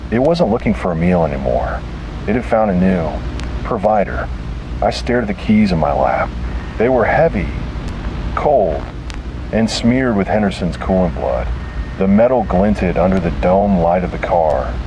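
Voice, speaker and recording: A man narrating scripted first-person horror in a dramatic, deliberate and grim manner. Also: deep voice. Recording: noisy, hissy analog tape sound.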